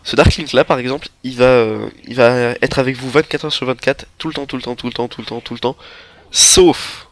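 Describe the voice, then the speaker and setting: Young man with a head cold. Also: mid-range voice. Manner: rambling, halting, relaxed. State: stuffy nose from a head cold. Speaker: gameplay commentator. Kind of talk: game walkthrough commentary. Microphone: headset microphone.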